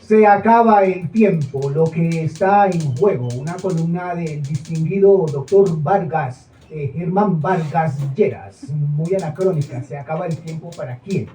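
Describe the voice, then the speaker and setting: An actor playing a radio co-presenter on stage, making mock-formal announcements with sardonic comments. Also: male voice, on the high side, measured pace, hardly any pauses, mellow, soft background music, lightly acted. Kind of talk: stage acting of a radio broadcast. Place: small theater stage. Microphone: far camera. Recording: echoey room from far off.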